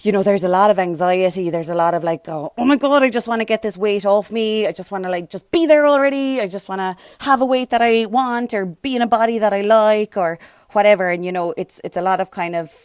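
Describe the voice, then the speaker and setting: A hoarse woman hosting a casual solo podcast, chatting loosely with plenty of fillers and tangents. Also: medium pitch, brisk, a little mellow, no room sound, clean studio sound. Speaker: podcast host.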